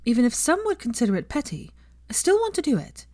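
Earnest, defiant young witch for a story reading, played by a woman; highly animated intonation, close dry sound. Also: quick pace, no room sound.

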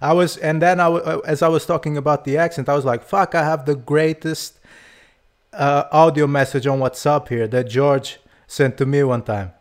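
A man's voice: on the high side, steady tone, moderate pace. A young man hosting a podcast, laid-back and loose, chatting casually with his guest. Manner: laid-back, laughing along, loose and crude. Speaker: podcast host.

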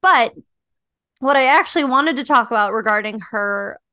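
A young adult woman co-hosting a podcast, chatting in a thoughtful, reflective way with frequent fillers, with a voice she calls high-pitched. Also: animated, moderate pace, bright, forceful tone, clean tone, no echo. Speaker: podcast co-host. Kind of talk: casual chat about a dating show.

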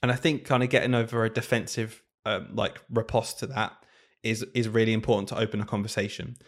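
A man's voice: fairly low pitch, fairly even intonation, fast. A man, a primary school teacher co-hosting a casual podcast, talking earnestly and thinking aloud.

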